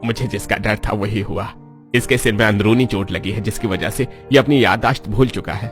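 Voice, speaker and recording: A man playing a kindly elderly village priest in a dramatized moral story, speaking in a fatherly, grave and expressive way. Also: on the low side, fairly quick, faint music behind, natural voice. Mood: gentle, worried.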